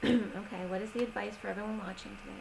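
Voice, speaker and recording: A woman giving a tarot reading in a slow, soothing manner, slightly strained as she is losing her voice. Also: medium pitch, fairly even intonation, vocal fry, very noisy. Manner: slow, soothing reading of oracle cards with gentle pauses. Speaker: tarot reader. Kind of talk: solo tarot reading video.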